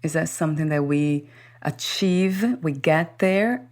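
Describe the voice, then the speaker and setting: Low-voiced woman host. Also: expressive, slow, a little mellow. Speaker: interview host. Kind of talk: interview questions.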